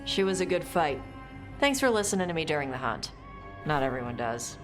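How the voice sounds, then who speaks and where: An actress playing a blunt, guarded young dragon hunter in a video game, speaking in terse, casual phrases. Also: female voice, sits low, big pitch swings, brisk. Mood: relaxed. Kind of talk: video game cutscene acting. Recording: music behind the voice.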